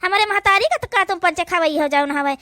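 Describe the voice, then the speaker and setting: A woman's voice: extremely high pitch, animated, brisk, pushed and bright, no room sound, clean studio sound. A woman with an Awadhi accent acting out a rural family drama for a cartoon in colloquial, complaining village speech. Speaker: cartoon voice performer.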